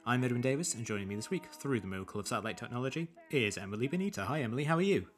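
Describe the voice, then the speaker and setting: A man's voice: mid-range voice, lively intonation, racing, faint music behind. A man hosting a casual film podcast, a little sniffly from allergies, rambling with many restarts. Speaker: podcast host.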